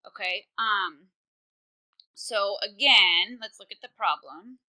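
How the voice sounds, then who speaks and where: A woman's voice: mid-range voice, slow pace, pushed and bright, rough recording. Chatty woman teaching chemistry. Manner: casual, chatty, many fillers. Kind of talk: recorded online lesson.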